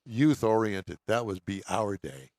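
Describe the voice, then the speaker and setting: A man's voice: on the low side, animated, unhurried, darkish tone, no room sound. Older American man, dry close studio sound. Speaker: radio show co-host. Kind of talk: casual banter and reading news stories aloud.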